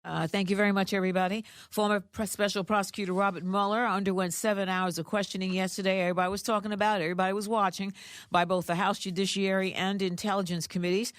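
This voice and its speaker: A woman's voice: lowish voice, no echo, clean studio sound. A woman reading radio news in a conversational way, adding informal asides. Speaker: radio newsreader. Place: radio studio.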